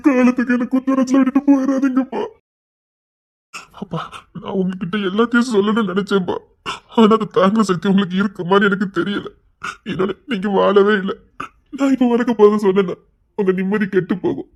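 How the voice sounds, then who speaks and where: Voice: mid-range voice, steady tone, fairly quick, natural voice. An actor in an emotional family melodrama playing an anguished grown child, pleading repetitively and overwrought with fear for a father.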